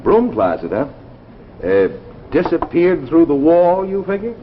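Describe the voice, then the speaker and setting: A man's voice: on the high side, sing-song, unhurried. An actor playing a folksy, skeptical man hearing out a strange tale in a 1950s radio drama. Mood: skeptical, curious. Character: skeptical, folksy man listening over a drink. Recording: muffled vintage radio recording, faint noise.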